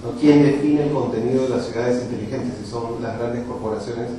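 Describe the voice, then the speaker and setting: Brazilian guy, portuguese first language, explaining stuff quick, sounds far from the mic, faint hiss. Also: mid-range voice, level tone. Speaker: author answering questions. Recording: distant voice in a very echoey hall, faint noise.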